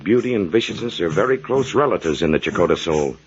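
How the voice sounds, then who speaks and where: A man's voice: low voice, expressive, quick pace, a little hiss or hum. An actor playing a solemn, learned older doctor and worried father, in the weighty manner of 1940s radio drama. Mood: grave. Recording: muffled vintage radio recording.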